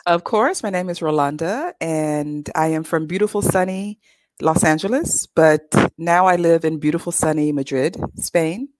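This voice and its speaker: A woman's voice: sits low, moderate pace, a little mellow, dry recording. A woman from California introducing herself in an upbeat, enthusiastic way. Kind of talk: casual self-introduction.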